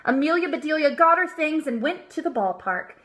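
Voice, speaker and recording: A woman reads a children's storybook aloud in a high, bright voice. Her delivery is expressive and emphatic, with lively, wide swings in pitch. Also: a little fast. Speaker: storybook reader. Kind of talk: animated children's read-aloud.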